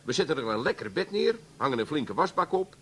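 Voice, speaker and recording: A cocky, coarse Amsterdam wheeler-dealer in a radio comedy. He has a fairly high voice that leaps about expressively as he schemes. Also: big pitch swings, quick pace, a bit bright. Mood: sly, cocky. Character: brash, scheming Amsterdam bar regular and womanizer. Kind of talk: comic radio play acting.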